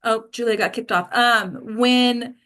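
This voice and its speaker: High-voiced woman directing a group call. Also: steady tone, unhurried, sharp tone. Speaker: host and director of a table read. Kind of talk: casual instructions to a group on a video call. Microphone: video call microphone.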